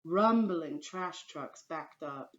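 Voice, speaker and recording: Slow, deliberate woman teacher reading aloud. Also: low-pitched, expressive, fairly bright tone, lo-fi sound. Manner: deliberate storytelling, addresses pupils directly. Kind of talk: children's storybook read-aloud.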